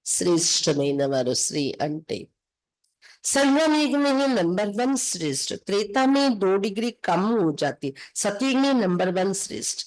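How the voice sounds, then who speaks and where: A woman's voice: lowish voice, darkish tone, low-quality recording. A woman from India with a Telugu accent, reading scripture aloud and explaining it instructively as a spiritual teacher.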